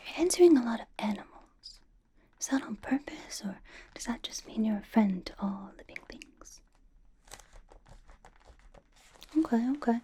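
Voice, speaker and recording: A woman's voice: a little high, lively intonation, moderate pace, mellow, close to an everyday voice. A woman playing a quirky, playfully suspicious young superheroine in an ASMR roleplay, coaxing and questioning in an airy whisper. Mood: playfully skeptical. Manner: coaxing, playful mock-interrogation, repeats phrases. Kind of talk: ASMR roleplay, personal attention.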